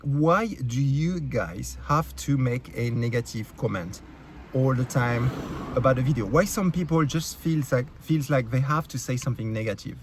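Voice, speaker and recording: A man's voice: medium pitch, slight background noise. A man with a French accent, a YouTube creator ranting angrily and bluntly to his viewers, full of swearing, fillers and restarts. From France. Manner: blunt, profane, heated, many fillers and restarts. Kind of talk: angry rant to camera.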